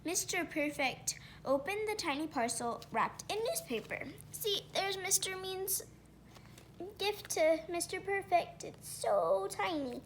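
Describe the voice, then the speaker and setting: A woman reading a children's picture book aloud, pausing to point out pictures and put questions to listeners. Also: extremely high pitch, slight background noise. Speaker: storybook reader.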